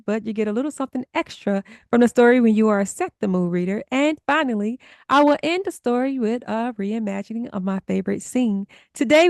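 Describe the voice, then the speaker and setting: A woman with a fairly high voice and a close, dry sound hosts a podcast. She is upbeat and enthusiastic, addressing her listeners directly as she presents and interviews. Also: darkish tone, no room sound. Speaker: podcast host.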